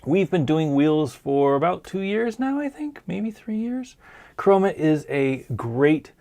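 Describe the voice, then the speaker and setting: A man hosting a casual live-stream show, hoarse from a sinus cold, talking in an earnest, encouraging way. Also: fairly high pitch, big pitch swings, takes it slowly, darkish tone. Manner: earnest, encouraging, plain-spoken. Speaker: podcast host.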